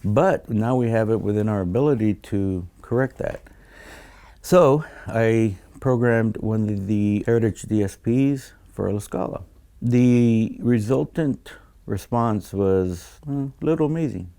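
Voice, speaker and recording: A man speaking as a design engineer in an explanatory interview, measured and technical, often pausing mid-phrase. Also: fairly low pitch, mellow.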